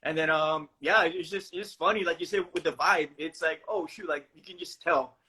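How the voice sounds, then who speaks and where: Man with a Hawaiian accent, high voice, quick chatty storytelling, lots of fillers. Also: sharp tone. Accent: Hawaiian Pidgin-tinged English. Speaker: host. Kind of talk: casual chat.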